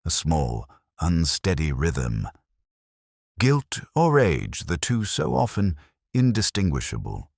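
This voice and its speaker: Male narrator for a literary detective mystery: very low, husky voice, wry and measured. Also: wildly swooping pitch, no room sound, studio quality. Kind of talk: scripted first-person detective mystery narration.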